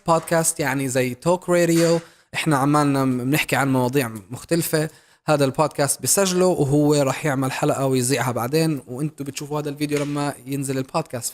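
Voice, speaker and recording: A young man from the Middle East, a native Arabic speaker, hosting a casual chat with quick talk and many restarts and fillers. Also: on the high side. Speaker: YouTuber host.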